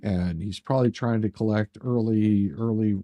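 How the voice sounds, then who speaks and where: A man chatting casually as a livestream host, with a halting, sarcastic manner that often repeats words. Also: fairly low pitch, dark tone, dry recording. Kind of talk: casual banter.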